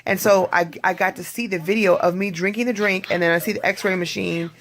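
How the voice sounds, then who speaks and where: An adult vlogger with a hoarse voice, talking in a rambling, candid video diary and clearing their throat often. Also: mid-range voice, steady tone, brisk, fairly bright tone.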